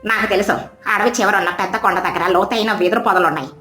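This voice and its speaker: A woman voicing a confident, energetic mother bird who takes charge, in a cartoon. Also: mid-range voice, rapid-fire, roomy sound, soft background music, close to an everyday voice. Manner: confident, enthusiastic. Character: responsible, energetic mother bird, village provider.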